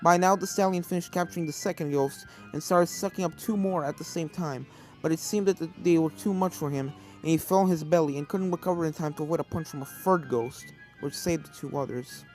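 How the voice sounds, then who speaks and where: A woman's voice: low-pitched, a little fast, darkish tone. A woman reading a story aloud as scripted narration, with music playing behind her. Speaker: fan fiction reader.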